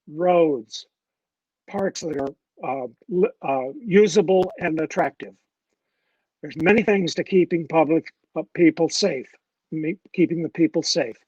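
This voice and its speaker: An older man and mayoral candidate giving a halting, earnest forum speech, often restarting his words. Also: on the high side, darkish tone, no echo, recorded from a distance, muffled recording. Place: public forum room.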